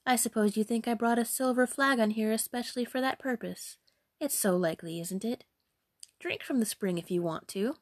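A woman's voice: on the high side, normal speed, no room sound, clean studio sound, natural voice. A woman voicing a rude, arrogant young girl in a fairy tale, sulky and sarcastic. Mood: arrogant sarcasm. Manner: sneering, sarcastic.

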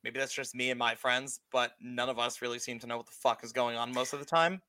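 A man around thirty with a bright, forward voice at a medium pitch. He co-hosts a podcast in a loose, chatty way, swears casually and acts out what he was thinking as he goes. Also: steady tone, a little fast. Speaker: podcast co-host. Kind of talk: casual chat.

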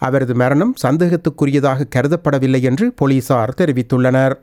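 A man reading a scripted news bulletin as presenter, in a formal, measured manner. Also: mid-range voice.

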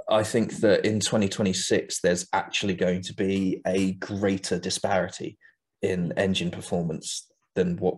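A man's voice: deep voice, slightly rough. A man in his late twenties chatting casually as the host of a podcast. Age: late 20s.

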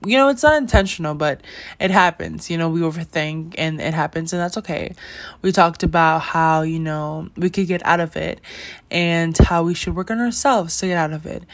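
A teenage girl hosting her own podcast in a casual, rambling chat, full of "like" and "you know" and frequent giggles. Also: fairly low pitch. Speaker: podcast host.

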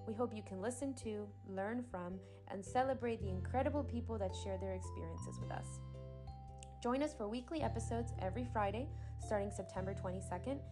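A woman hosting a podcast, moving between scripted introduction and casual interview talk. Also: mid-range voice. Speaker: podcast host.

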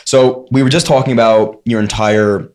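A man's voice: medium pitch, steady tone, moderate pace, a little mellow. A young man hosting a podcast, asking questions in a jokey, easygoing way. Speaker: podcast host. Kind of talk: casual podcast chat.

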